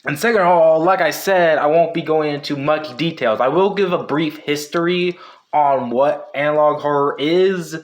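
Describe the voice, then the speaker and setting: A man's voice: a little high, normal speed. Rambling man hosting a casual video essay. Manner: rambling, self-correcting, hedging. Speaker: video host.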